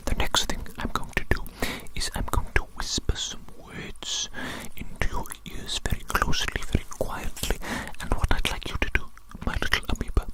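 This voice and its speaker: An ASMR creator whispering through a roleplay hearing test, slow and repetitive, heaping praise on the listener. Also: low-quality recording. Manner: doting praise, calls the listener little amoeba. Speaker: ASMR creator playing an examiner.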